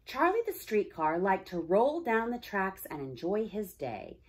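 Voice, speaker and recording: A woman's voice: mid-range voice, big pitch swings, a little slow, slightly rough. A woman narrating a children's storybook with dramatic emphasis on key words. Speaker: storybook reader.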